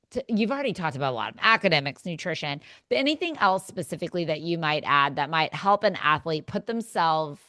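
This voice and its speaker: Affirming woman host, low voice, dry sound. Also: fairly bright tone, dry recording. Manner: affirming, many quick agreements and restarts. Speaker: podcast host. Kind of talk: podcast interview chat.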